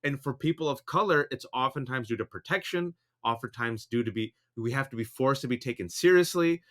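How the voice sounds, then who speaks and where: Lively, bright-voiced man co-host. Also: brisk. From San Francisco Bay Area, USA. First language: Urdu. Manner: animated, acts out quotes with big pitch jumps. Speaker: podcast co-host. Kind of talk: casual political chat.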